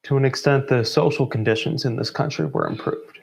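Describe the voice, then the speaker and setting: A man delivering a prepared closing address in deliberate phrases broken by pauses. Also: mid-range voice, creaky, low-quality recording. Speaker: member of a student theatre group giving closing remarks.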